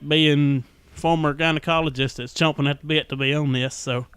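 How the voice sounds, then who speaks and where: Higher-voiced Southern man, smooth and folksy. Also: fairly even intonation, normal speed, fairly bright tone, no room sound. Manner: folksy, rambling storytelling. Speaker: podcast host. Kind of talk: casual chat.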